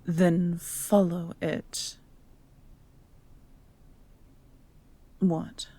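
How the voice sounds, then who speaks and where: A woman playing a cold, menacing evil noblewoman in a fantasy audio drama, imperious, commanding and threatening in her deliberate delivery. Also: fairly low pitch, level tone, mellow, no room sound, exaggerated voice. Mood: cold, impatient.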